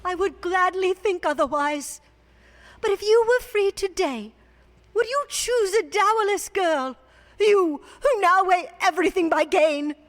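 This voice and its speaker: A young fiancee in a radio drama, sorrowful yet dignified. Her high voice is earnest and formal. A long reverb hangs behind it. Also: lively intonation, unhurried. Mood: sorrowful, resolute.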